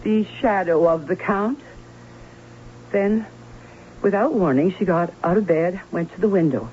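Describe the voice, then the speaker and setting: An actress playing a fearful, anxious aunt in an old radio drama, speaking in a halting, dramatic way. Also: female voice, fairly low pitch, warm tone, natural voice. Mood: grave recounting. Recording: muffled, hissy old radio broadcast.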